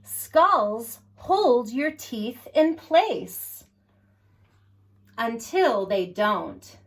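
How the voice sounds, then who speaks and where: A woman hosting story time for young children, speaking in an animated, encouraging way straight to her little listeners. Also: on the high side, drawn-out words. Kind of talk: reading and singing aloud to young children.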